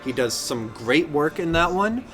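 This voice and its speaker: A man's voice: fairly high pitch, animated, a little slow, a bit bright, soft background music. A man chatting enthusiastically and casually as co-host of a pop-culture podcast.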